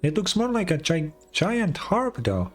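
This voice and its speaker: A man's voice: sits high, very animated, a little slow, soft background music, pitched above the performer's own voice, full character voice. A man voicing a small, chatty, childlike female fairy companion from a video game, exclamatory and inquisitive. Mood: curious, amazed. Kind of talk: video game dialogue read aloud.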